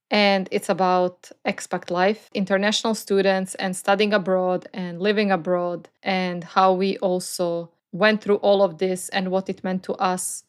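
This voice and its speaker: A woman's voice: mid-range voice, clear, resonant tone. A young adult woman from Croatia, co-hosting a podcast in casual conversation. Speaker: podcast co-host.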